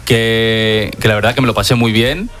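A man's voice: on the low side, fairly even intonation, normal speed, a bit bright, soft background music. A young man from Madrid co-hosting a radio talk show, chatty and friendly. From Madrid, Spain. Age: young adult. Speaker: radio co-host.

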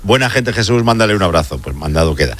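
A man's voice: low voice, expressive, quick pace, fairly bright tone. A man hosting a live radio sports show, talking conversationally and asking friendly questions.

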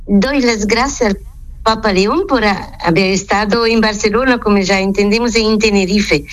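A woman, a nun interviewed by phone or studio link, speaking careful, halting Spanish with a Portuguese accent. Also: medium pitch. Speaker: nun, project coordinator.

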